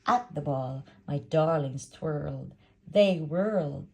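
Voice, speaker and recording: A woman's voice: deep voice, slow, creaky, mellow, a little hiss or hum. A woman reading a storybook aloud in a dramatic storytelling style. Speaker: storybook reader.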